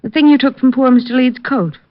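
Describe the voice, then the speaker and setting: A woman's voice: high-pitched, brisk, dry recording, close to an everyday voice. An actress playing a playful, flirtatious woman in a 1950s radio drama, with a teasing manner. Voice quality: teasing, lively. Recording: dull, dry old radio broadcast.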